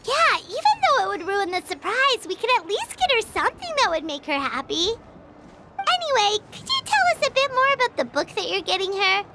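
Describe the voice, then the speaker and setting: An actress voicing a small, eager, inquisitive childlike companion in an anime game, with a squeaky voice. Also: female voice, very animated, brisk, very noisy, full character voice. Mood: earnest, questioning. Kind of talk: anime video game voice acting.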